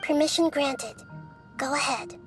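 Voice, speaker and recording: High-voiced, composed young goddess. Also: female voice. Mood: composed, formal. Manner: measured, formal, instructive. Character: calm, wise young female deity, gently authoritative. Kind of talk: video game voice acting. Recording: music behind the voice.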